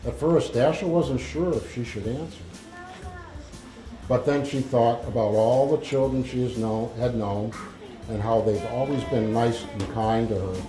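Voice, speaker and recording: A man reading a story aloud to children in a halting, informal manner. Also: mid-range voice, warm tone. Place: indoor library room with audience. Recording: echoey room, faint music.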